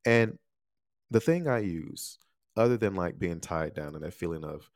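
A man's voice: deep voice, animated, unhurried, no room sound, clean studio sound. A man in his thirties from the United States, hosting a casual solo podcast and talking things through with lots of "you know" and "right?". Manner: conversational, frequent "you know" and "right?" tags. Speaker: podcast host.

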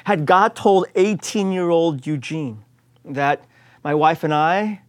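A man's voice: a little high, slow. A man in his late forties from the United States, preaching a sermon as a pastor in an earnest, emphatic way, returning again and again to repeated refrains. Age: 40s.

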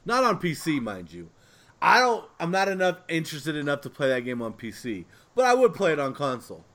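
A man's voice: fairly high pitch, huge pitch swings, a little fast, fairly bright tone. Rambling man in casual podcast chat. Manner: rambling, loosely phrased. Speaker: podcast co-host.

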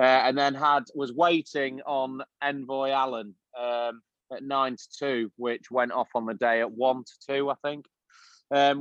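A man's voice: medium pitch, fairly bright tone, no echo. A man co-hosting a casual podcast, rambling through an anecdote with plenty of fillers. The recording sounds dull and lo-fi. It is very dry, with no room sound. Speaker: podcast co-host. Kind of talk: casual chat.

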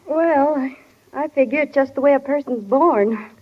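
A woman's voice: high voice, normal speed, natural voice. An actress playing an earnest, self-conscious teenage small-town schoolgirl in a 1940s radio drama. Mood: shy, polite. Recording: dull, reverberant 1940s radio recording.

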